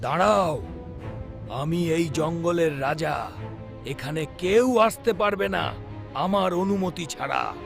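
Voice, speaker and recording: A man's voice: a little high, takes it slowly, gravelly, long pauses, faint music behind. A man voicing a commanding, territorial animal king of the jungle in a children's cartoon, with an imperious manner.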